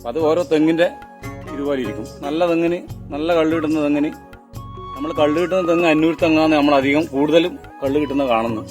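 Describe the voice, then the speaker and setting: A man with a somewhat high voice speaks in a Kannur accent from northern Kerala. He explains his working trade informally, as a tapper showing how it is done. Instrumental music plays clearly behind him. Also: quick pace, a little mellow. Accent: northern Kerala (Kannur) Malayalam. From Kannur region of Kerala, India. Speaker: toddy tapper. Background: instrumental background music.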